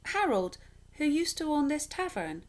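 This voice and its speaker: A woman's voice: high voice, very animated, a little slow, voice pushed up. A woman voicing a desperate, weary young man on the run, anxious and pleading, in a storybook reading.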